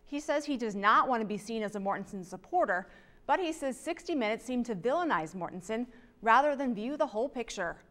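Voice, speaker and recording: A woman's voice: on the high side, lively intonation, fairly quick, fairly bright tone. A middle-aged woman reading scripted television news as an anchor in a studio.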